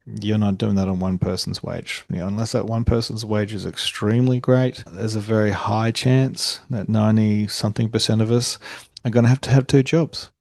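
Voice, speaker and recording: A young Australian man talks through a solo podcast episode in a low, mellow voice. He rambles casually, restarting sentences and padding with fillers, in a delivery he himself calls monotone. The sound is dry and close, with no room around it. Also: moderate pace, vocal fry, no room sound. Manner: conversational, self-described monotone, frequent fillers and restarts. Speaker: podcast host.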